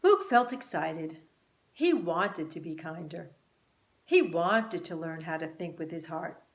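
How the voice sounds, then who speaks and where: A woman reading a children's story expressively and deliberately, with dramatic pauses. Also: sits low, expressive. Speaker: author and teacher reading own book. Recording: roomy, echoing room sound.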